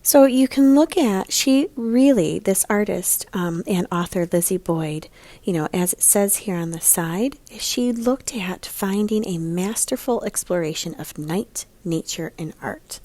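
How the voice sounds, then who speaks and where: A woman art teacher leading a picture book lesson for young children in a guiding way, with a breathy, husky voice. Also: mid-range voice, animated, measured pace, dark tone, no room sound. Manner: guiding, prompts listeners with questions.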